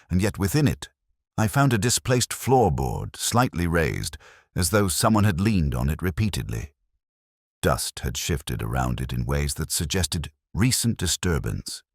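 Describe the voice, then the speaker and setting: Audio drama lead: male actor as reflective, brooding detective narrator, middle-aged, very deep voice with expressive rises and falls, literary and measured. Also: no echo, clean studio sound, exaggerated voice.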